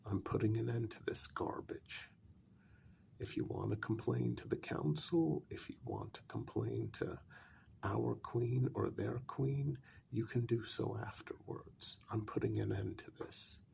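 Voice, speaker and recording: A man playing a calm, coldly persuasive vampire in a soft-spoken ASMR role-play, talking deliberately in a gravelly, breathy voice. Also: lowish voice, a little hiss or hum, exaggerated voice. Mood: stern. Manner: slow, deliberate, pausing between phrases.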